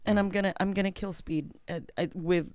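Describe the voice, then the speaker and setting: A woman's voice: on the low side, steady tone, fairly quick, darkish tone. A woman guest on a casual podcast, playful and thinking aloud.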